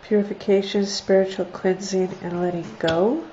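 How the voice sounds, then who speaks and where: A woman's voice: mid-range voice, steady tone, moderate pace, dark tone. A congested woman with a nasal voice, casually reading oracle cards on a livestream, chatty, thinking aloud and laughing often. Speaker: oracle card reader. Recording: slightly dull lo-fi sound with faint noise.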